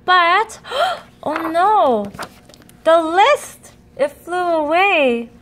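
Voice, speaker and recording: A woman's voice: extremely high pitch, sing-song, extremely slow, fairly bright tone, a little hiss or hum. A woman reading a children's picture book aloud expressively, speaking directly to young listeners. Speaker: storybook reader.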